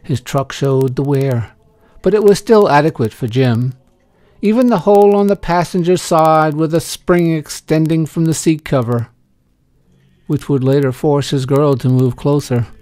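A man narrates a short story with gentle, measured delivery. His voice sits a little high for a man and has a soft, mellow tone. He takes it very slowly, in a dry recording. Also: no room sound. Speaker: story reader.

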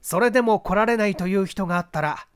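A man's voice: high voice, fast talker, clear, resonant tone, no echo, clean studio sound, voice pushed up, close to an everyday voice. A man voicing a confident police detective giving precise, polite, emphatic instructions in an audiobook mystery. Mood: commanding, polite. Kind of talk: literary audiobook character dialogue.

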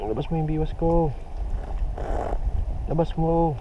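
A man's voice: on the high side, slow. Man muttering curses in a canoe. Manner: mutters and curses at the fish under his breath. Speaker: fishing vlogger. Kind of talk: casual muttering to himself while fishing. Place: small wooden canoe in a river among mangroves, outdoors. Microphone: action camera on the boat. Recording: dull outdoor camera audio with river noise. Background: water and boat sounds.

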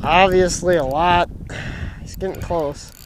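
A young man giving excited, casual running commentary while fishing from a dock on a lake. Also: sits high, measured pace, background noise. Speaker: fishing vlogger. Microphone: action camera mic, sometimes head-mounted.

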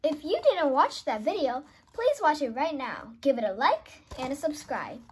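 Girl reading a kids storybook, high animated voice, bit hesitant, slight noise. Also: moderate pace. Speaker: host and narrator. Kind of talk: children's storybook read-aloud.

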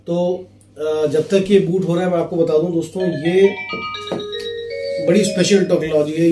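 A man with a fairly high, mellow voice walks through a product in a casual, explanatory way. He mixes in English phrases. The small office sounds echoey. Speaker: product reviewer and seller. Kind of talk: casual unboxing and product demonstration. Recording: roomy echo, faint background noise.

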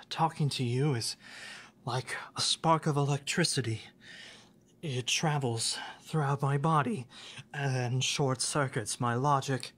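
Actor as a slow, hushed, lovesick kuudere classmate. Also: medium pitch, husky. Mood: wistful, restrained. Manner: hesitant, formal wording, sighing, often near whisper. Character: reserved kuudere young classmate, lovesick, leaving a voicemail. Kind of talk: ASMR roleplay, soft intimate monologue.

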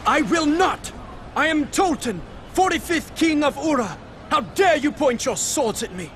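An outraged fantasy game king with a very high, wildly swinging voice. He declaims with imperious, pompous indignation. Background noise is clearly audible. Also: animated, unhurried, a bit bright, heavily acted. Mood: indignant, imperious.

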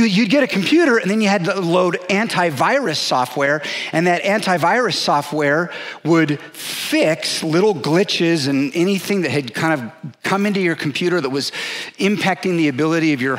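Pastor, man in his forties, emphatic sermon delivery on a headset mic, voice on the high side. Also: a bit bright. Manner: earnest, emphatic preaching with pauses; paces the stage, gesturing. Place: large dark church stage.

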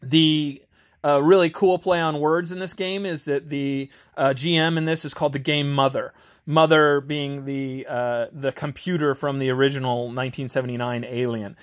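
A man's voice: medium pitch, fairly even intonation, normal speed. A man chatting casually and unscripted as an amateur reviewer, in an informal, hesitant, self-deprecating way. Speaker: amateur video reviewer and tabletop game master. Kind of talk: casual, unscripted chat while reviewing a game book. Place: home garage.

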